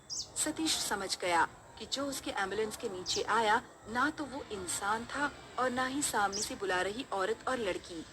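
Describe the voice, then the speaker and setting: A woman's voice: mid-range voice, noisy background. A woman narrating a scripted horror story.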